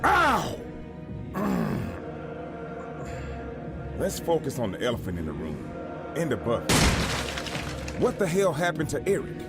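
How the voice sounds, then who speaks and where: Muscular young joker, played by an actor: exasperated, hugely varied horror drama delivery, loud music behind. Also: male voice, medium pitch, huge pitch swings, slow pace, long pauses. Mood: sardonic, strained. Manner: sarcastic, exclamatory. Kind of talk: horror audio drama acting.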